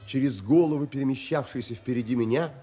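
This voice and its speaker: A man playing a thoughtful traveller who recounts his wonder in measured, polite audio drama narration. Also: medium pitch, dark tone, breathy edge, faint music behind, close to an everyday voice. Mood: rapt, reflective. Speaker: narrator and lead actor in an audio play.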